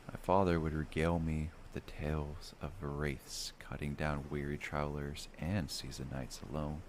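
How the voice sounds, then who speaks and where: A man narrating a first-person fantasy bedtime story in a measured, dramatic storytelling manner. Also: rumbling low, a little hiss or hum.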